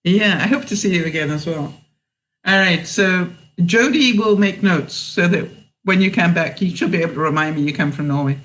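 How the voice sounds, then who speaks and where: Older woman, 70s, mixed British accent, live-stream host, slow halting chat, many fillers, a bit tired. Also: on the low side, a bit bright, low-quality recording. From England. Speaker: livestream host.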